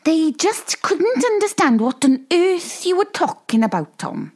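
Very high-voiced chirpy woman. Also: sing-song, measured pace. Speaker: TV producer in a sketch. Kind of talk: comedy sketch acting.

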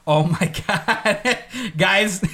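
A man's voice: high-pitched, very slow, pushed and bright. A young man hosting a podcast in casual chat, rambling and laughing often. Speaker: podcast host.

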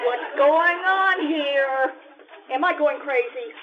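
An actress playing an outraged, bewildered and dramatic second wife in a stage radio comedy. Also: female voice, sits high, takes it slowly, some chatter behind. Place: live stage show at an arts center. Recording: dull live theatre recording.

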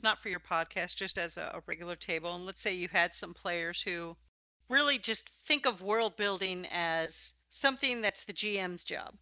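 Woman podcast host chatting games, bright punchy voice, says what she thinks, bit muffled recording. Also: medium pitch, no echo. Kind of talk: conversational interview about tabletop games.

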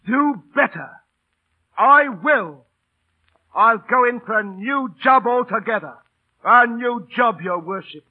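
High, husky down-at-heel busker. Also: male voice, animated, slow pace, exaggerated voice. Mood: defiant, rueful. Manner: working-class colloquial speech, stammering protests. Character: down-at-heel middle-aged busker, proud yet beaten, pleading, theatrical. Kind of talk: 1930s-40s radio drama acting. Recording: old radio broadcast, phone-like narrow band.

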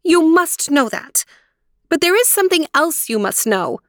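A woman voicing an earnest, headstrong sixteen-year-old princess in a storybook, with an emphatic, expressive delivery. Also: high-pitched, moderate pace, dry recording, clean studio sound, pitched above the performer's own voice. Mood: firm, determined. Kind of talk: storybook character voice.

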